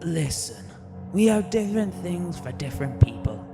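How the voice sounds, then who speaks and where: A man's voice: high voice, sing-song, normal speed. A man acting a tipsy, condescending, menacing gatekeeper boss in an audio drama. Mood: menacing, pompous. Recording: music over the dialogue.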